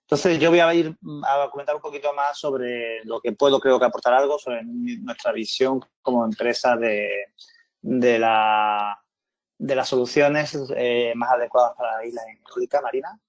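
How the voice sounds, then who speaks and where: A man's voice: mid-range voice, moderate pace, no room sound, low-quality recording. A man from Spain presenting his company on a webinar panel, fluent and businesslike, with occasional restarts. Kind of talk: online presentation.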